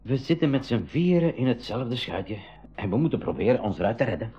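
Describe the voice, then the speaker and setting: An actor playing a cautious, protective professor who takes charge in a 1980s school radio adventure. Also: male voice, mid-range voice, big pitch swings, a little fast, dark tone, breathy edge. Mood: sober, worried. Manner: earnest, protective, authoritative. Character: cautious, commanding adult male professor leading youngsters. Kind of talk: 1980s Dutch-language school radio play acting. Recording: dull lo-fi 1980s radio recording.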